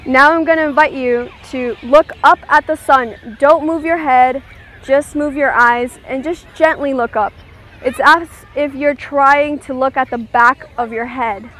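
A woman leading a guided meditation, giving gentle, soothing instructions and checking in with her listeners. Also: sits high, steady tone, measured pace.

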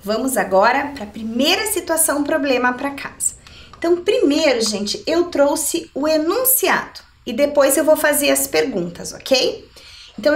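Lady teacher, high lively voice, explains slowly step by step, echoey room. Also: recorded from a distance. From Brazil. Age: middle-aged. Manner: didactic, checking in with rhetorical questions. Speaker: adult education maths teacher. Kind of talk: televised lesson, explaining step by step. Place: indoor TV lesson studio. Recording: roomy echo, somewhat off the mic.

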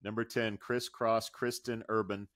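A man's voice: fairly low pitch, level tone, measured pace, fairly bright tone, no room sound. A middle-aged American man co-hosting a podcast, switching between upbeat banter and news reading into a close microphone. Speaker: podcast co-host. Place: indoor room at home, video call.

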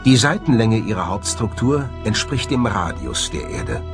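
Low-voiced male documentary narrator over music. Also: wildly swooping pitch, normal speed. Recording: music bed under the voice. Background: background music.

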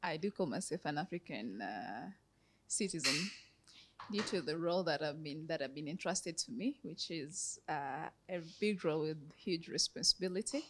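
A woman with a Tanzanian accent speaks in a press interview as an ambassador. Her voice sits fairly low for a woman, and she talks into a handheld microphone indoors. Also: a bit bright, slight background noise. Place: bright indoor room. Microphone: handheld reporter's microphone.

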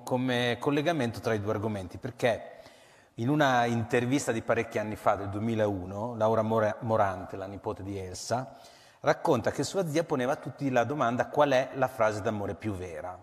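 A middle-aged man hosting a live podcast event on stage, reading questions aloud in a measured way. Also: mid-range voice. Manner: measured, reads aloud from a sheet. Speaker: host reading audience questions. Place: large concert hall with audience. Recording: long hall reverb.

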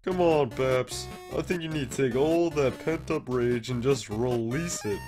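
A man's voice: medium pitch, measured pace, music in the background, natural voice. A man voicing a laid-back, weary skeleton brother in a comic dub, with a casual, understated drawl. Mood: teasing, lazy. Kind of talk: animated comic dub acting.

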